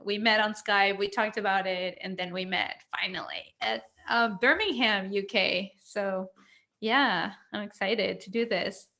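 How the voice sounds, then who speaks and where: A woman's voice: medium pitch, unhurried, gravelly, bright, forceful tone. A woman from Mexico with a Mexican accent, co-hosting a casual live video chat and laughing often. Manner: giggly, chatty, laughs often. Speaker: co-host. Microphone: computer mic on a video call.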